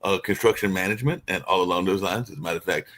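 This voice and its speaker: Very low-voiced male radio host, rambling, chatty questions. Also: very fast, fairly bright tone. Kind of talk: radio interview.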